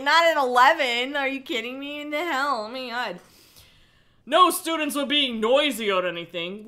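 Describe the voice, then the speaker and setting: A woman with a high, bright voice reads aloud on a livestream, breaking off for mocking asides. She is giggly and sarcastic, swears freely, and takes an unhurried pace. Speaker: livestream host reading a book aloud. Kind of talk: cold reading with mocking asides.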